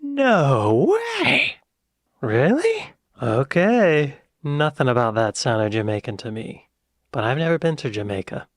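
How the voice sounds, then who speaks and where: American guy reacting, excitable then muttering, dry close mic. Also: medium pitch, slow, no echo. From United States. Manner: chatty, excitable, drops to muttered asides. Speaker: reaction video host. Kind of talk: casual reaction commentary.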